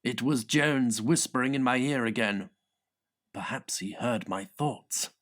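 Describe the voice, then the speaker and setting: A man reads comic fiction for an audiobook. His voice is mid-pitched, and he tells the story with theatrical, deliberate phrasing. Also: a bit bright. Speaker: audiobook narrator. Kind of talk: scripted comic fiction narration.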